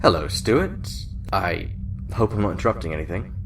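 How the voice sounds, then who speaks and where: A genial senior admiral in a space audio drama, played by a male actor. His low voice swings expressively while staying measured and formal. He sounds slightly distant from the microphone, with faint noise. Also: very animated. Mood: amused, genial. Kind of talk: science fiction audio drama acting.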